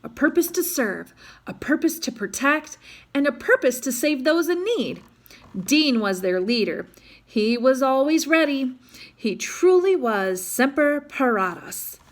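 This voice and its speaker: A woman's voice: sits high, expressive, unhurried. A woman reading a children's adventure story aloud in a dramatic manner, as if to young listeners. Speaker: storybook reader.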